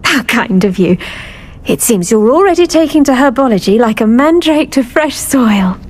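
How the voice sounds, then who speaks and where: A woman's voice: a little high, animated, normal speed, darkish tone. A woman voicing a cheerful, kindly British herbology professor in a video game, effusive and encouraging in her way of talking. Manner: effusive, encouraging, plant puns. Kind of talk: video game character acting.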